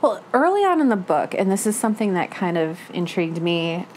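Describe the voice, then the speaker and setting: A woman in a casual book discussion, telling things in an animated way and quoting her own reactions. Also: on the low side, brisk. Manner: animated storytelling with self-quoted reactions. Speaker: book club panelist. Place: living room, seated on a couch. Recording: faint room noise.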